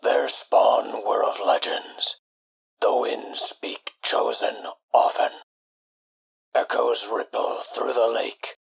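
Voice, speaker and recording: A man voicing a hulking lady mutant who rambles slowly in cryptic riddles with a husky, gravelly rasp. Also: medium pitch, steady tone, highs cut off, exaggerated voice. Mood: cryptic, ominous. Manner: slow, cryptic, speaks in riddles. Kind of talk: post-apocalyptic audio drama acting.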